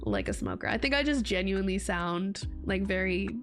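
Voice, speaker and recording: A woman's voice: medium pitch, wildly swooping pitch, moderate pace, faint music behind. A young woman streaming casual chat while getting over a cold, her voice stuffy and congested, rambling and laughing often. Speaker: VTuber streamer.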